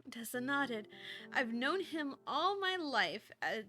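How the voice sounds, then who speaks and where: A woman's voice: high voice, sing-song, slow pace, bright, forceful tone, faint music behind. A woman livestreamer with an informal, giggly, self-deprecating way of talking, chatting casually and reading fan fiction aloud. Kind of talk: casual livestream chat and story read-aloud.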